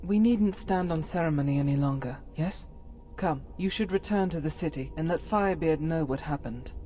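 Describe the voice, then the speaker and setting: A woman's voice: sits low, moderate pace, mellow, close to an everyday voice. A woman playing a devout, resolute holy warrior in a fantasy game, speaking in formal, earnest phrases over music. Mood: calm, friendly. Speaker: companion character in a game mod. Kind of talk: fantasy game character acting.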